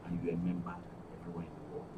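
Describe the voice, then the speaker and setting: A man's voice: sits low, monotone, very fast, far from the mic, narrow, tinny audio, background noise. A man with a Nigerian accent speaking as a guest in a studio interview, promoting an organisation. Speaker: actors' guild guest.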